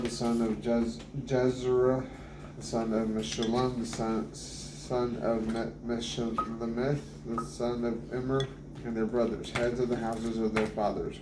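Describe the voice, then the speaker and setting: A middle-aged man reading scripture aloud to his family at a kitchen table, halting and restarting on hard names. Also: medium pitch. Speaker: family Bible reader. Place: kitchen table at home, indoors. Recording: echoey kitchen with background noise.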